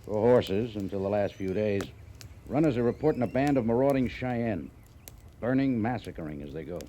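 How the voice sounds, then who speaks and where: An actor playing a brisk, skeptical cavalry major in a 1950s radio western, with an officious, dismissive manner. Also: male voice, lowish voice, lively intonation, mellow, lightly acted. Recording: old radio recording with background noise.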